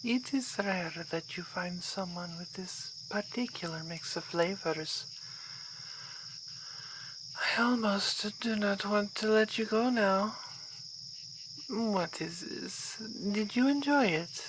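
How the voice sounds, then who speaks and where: A woman's voice: mid-range voice, takes it slowly, lightly acted. A woman playing an aristocratic vampire baroness in an ASMR roleplay, speaking in a formal, refined, seductive and composed way. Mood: enticing. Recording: clear night insect noise behind voice.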